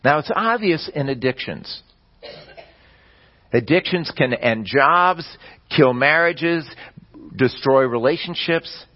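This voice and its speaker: A man preaching a sermon, explaining scripture in a measured teaching manner with the odd restart. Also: fairly high pitch, animated, muffled recording. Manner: measured teaching delivery with occasional slips and restarts.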